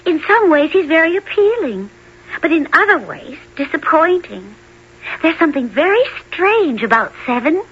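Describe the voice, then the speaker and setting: A woman's voice: very high-pitched, big pitch swings, normal speed. A woman playing a spoiled, whiny young princess in a radio fairy tale, petulant and melodramatic. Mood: disappointed, whiny. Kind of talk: 1970s old-time radio drama acting. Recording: muffled 1970s radio recording.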